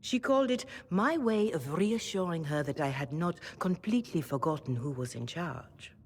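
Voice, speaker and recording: A woman playing a gracious, devout older cleric in a video game, speaking formally with measured courtesy and gentle frankness. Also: low-pitched, lively intonation, a little hiss or hum. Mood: courteous, measured.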